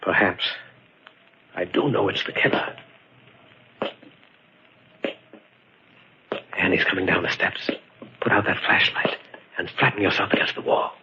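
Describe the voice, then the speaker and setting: An actor playing a shrewd, steady detective in an old radio mystery, speaking with deliberate drama. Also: male voice, low voice. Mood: hushed, urgent. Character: shrewd, steady male sleuth explaining the crime. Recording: muffled, noisy old radio recording.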